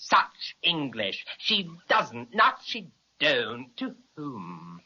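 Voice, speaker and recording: A woman's voice: a bass voice, huge pitch swings, drawn-out words, sharp tone, slightly rough, dull audio, full character voice. A woman playing a pedantic, haughty owl professor in a children's audio serial, speaking with pompous, precise, fussy correctness. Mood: lofty explaining. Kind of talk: children's radio serial acting.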